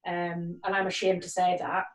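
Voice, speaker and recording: A woman police sergeant in her forties speaks earnestly on a video call, pausing often on ums. Her delivery stays fairly flat. The audio is roomy and distant, as if she sits well back from the microphone. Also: lowish voice, a little hiss or hum. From Nigeria and Northern Ireland. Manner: earnest, many ums and restarts. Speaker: police community cohesion sergeant.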